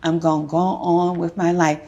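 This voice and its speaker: A woman's voice: on the low side, level tone, moderate pace, dark tone, lo-fi sound. A woman speaking as a television courtroom judge, questioning and ruling in a stern, emphatic, lecturing way.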